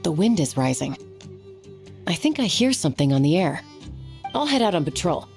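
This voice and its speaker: A woman voicing a cool, laid-back female coach in a game cutscene, speaking in a relaxed, effortless way. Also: fairly low pitch, normal speed, faint music behind.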